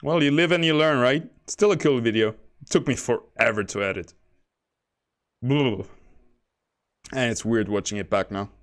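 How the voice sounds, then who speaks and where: A man chats casually over his gameplay stream in a soft, low-key voice at a middle pitch. He describes his own delivery as monotone, a kind of bedroom voice. He drifts between topics and chuckles between remarks. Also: normal speed, pauses often, clear, resonant tone. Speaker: game streamer. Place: home.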